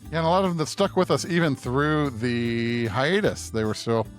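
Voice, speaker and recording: Male co-host, average pitch, casual podcast talk, light music underneath. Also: moderate pace.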